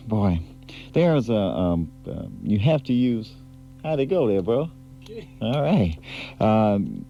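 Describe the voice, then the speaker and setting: A man's voice: lowish voice, warm tone. A man DJing on the radio, chatting casually, rambling and laughing between records. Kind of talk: casual on-air DJ talk. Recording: muffled 1980s AM/FM aircheck tape.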